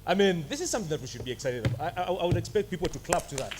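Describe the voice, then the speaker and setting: A man's voice: on the high side, lively intonation, racing, noisy background. A man giving a commencement address at a graduation ceremony, joking with the audience between formal greetings. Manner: jovial, playful asides to the audience. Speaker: commencement speaker. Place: ceremony hall.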